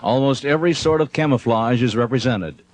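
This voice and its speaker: A man reading scripted narration for an instructional documentary film in a measured, instructive manner. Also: medium pitch.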